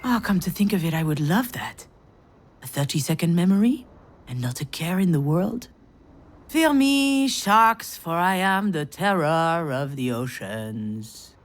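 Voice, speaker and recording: Sardonic, animated woman. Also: fairly low pitch, unhurried. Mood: wry, brooding. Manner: sardonic, dramatic. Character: bitter, sardonic, overwhelmed woman screenwriter, troubled daughter. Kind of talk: visual novel voice acting with inner monologue.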